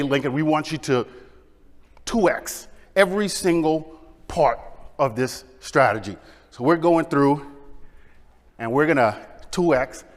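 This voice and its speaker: A middle-aged man presents at a conference, full of energy and often polling the audience for a show of hands. His voice sits a little high for a man. A long reverb trails his words through the big hall. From Bahamas. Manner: energetic, engages audience with questions and shows of hands. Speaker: conference speaker.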